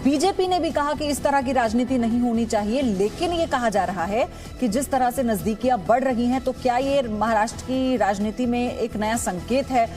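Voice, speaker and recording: A woman's voice: on the high side, moderate pace, music in the background. A woman presenting broadcast news as an anchor.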